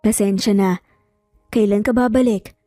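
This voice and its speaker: A woman's voice: medium pitch, steady tone, moderate pace, warm tone, dry recording, studio quality, faint music behind, close to an everyday voice. A woman voicing an anxious, apologetic young wife who speaks politely and hesitantly in an audio story.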